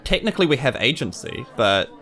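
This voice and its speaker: Man, New Zealand accent, lively intonation, medium pitch, joking casual banter, game player. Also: brisk.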